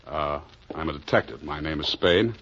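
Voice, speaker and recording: An actor playing a wisecracking hard-boiled American private detective in an old-time radio drama, deadpan and wry. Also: male voice, rumbling low, normal speed, slight background noise, exaggerated voice. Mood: blunt. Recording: muffled vintage radio recording, music behind.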